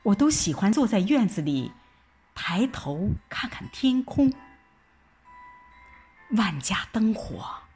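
Female audio drama narrator, reflective and unhurried, highly melodic phrasing, soft music bed. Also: breathy edge. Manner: expressive, reflective recitation with deliberate pauses. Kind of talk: dramatic scripted first-person narration. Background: background music.

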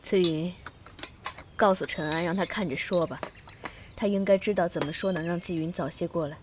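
A woman's voice: on the low side, steady tone, normal speed, a little mellow, a little hiss or hum, natural voice. A woman playing a caring middle-aged mother and mistress of the house in a Chinese period audio drama. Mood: caring, composed.

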